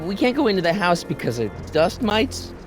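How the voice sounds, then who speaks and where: An actor voicing an exasperated, flippant teenage son with an American accent, in a hesitant, incredulous manner for a video game. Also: male voice, high voice, very animated, moderate pace, over music.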